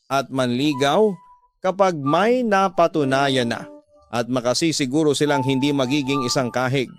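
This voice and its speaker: Guy narrating a radio drama story, medium pitch, scripted read, music playing under.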